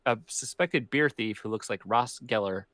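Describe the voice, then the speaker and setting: A man's voice: mid-range voice, moderate pace, a bit bright, dry recording. A man co-hosting a podcast, chatting in a laid-back way with short reactions while gaming. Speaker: podcast co-host. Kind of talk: casual chat while gaming.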